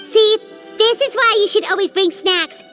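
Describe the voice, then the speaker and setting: A woman voicing a tiny, chatty, excitable female companion creature in a video game, talking about herself by name. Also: normal speed. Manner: squeaky, exclamatory, talks about herself by name. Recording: game audio with faint music underneath.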